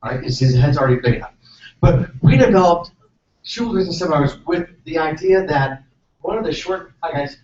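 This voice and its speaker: A man's voice: fairly high pitch, animated, brisk, darkish tone. A man from San Francisco, a chiropractor, giving a casual, self-deprecating seminar talk and asking the audience questions. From San Francisco, California. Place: seminar hall. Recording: echoey hall, distant mic, dull sound, faint noise.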